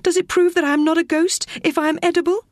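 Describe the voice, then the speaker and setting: A woman voicing a frightened young girl in deadly danger, high and breathless. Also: steady tone, fast talker, dry recording, clean studio sound. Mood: terrified.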